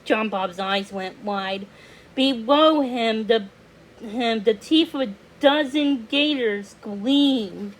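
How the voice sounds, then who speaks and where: A woman reading a children's story aloud as narrator, halting now and then over words. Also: on the high side.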